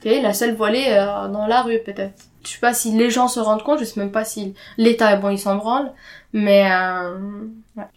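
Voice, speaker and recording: A woman's voice: medium pitch, fairly even intonation, normal speed. A young woman whose native language is Arabic, talking candidly and informally about her own life as a podcast guest, with slang and the odd English word. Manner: informal slangy talk with occasional English words. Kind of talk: candid personal account in casual conversation.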